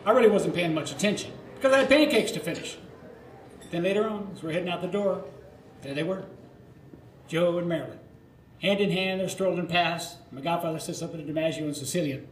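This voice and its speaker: Higher-pitched older American man, roomy live storytelling. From Florida, United States. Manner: nostalgic, theatrical storytelling with dramatic pauses. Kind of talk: live autobiographical monologue for radio theatre. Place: live radio theatre performance. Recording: roomy live recording with faint noise.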